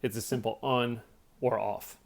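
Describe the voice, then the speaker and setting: A man's voice: medium pitch, steady tone, measured pace, vocal fry. A man giving a casual product demonstration, explaining things step by step in a plainspoken way. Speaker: product reviewer.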